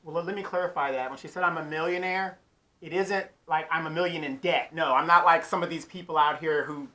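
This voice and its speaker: Man, congested, bright tone, casual chat, co-host chiming in. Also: on the high side, steady tone, a little fast. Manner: chimes in with short agreeing remarks. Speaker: podcast co-host.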